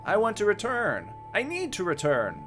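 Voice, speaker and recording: A man's voice: high-pitched, wildly swooping pitch, normal speed, a bit bright, pitched above the performer's own voice, heavily acted. A man voicing an earnest, easily flustered male ghost in a dramatic visual novel reading, with music behind him. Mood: pleading.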